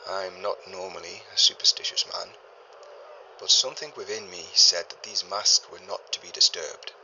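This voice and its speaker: An actor playing a learned 1930s archaeologist, a man recording a formal, deliberate journal in grave and uneasy tones for an audio drama. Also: low-pitched, steady tone, pushed and bright, a little hiss or hum, full character voice, rough recording. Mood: haunted, pensive. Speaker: expedition leader keeping a recorded log. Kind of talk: scripted audio drama monologue, spoken journal.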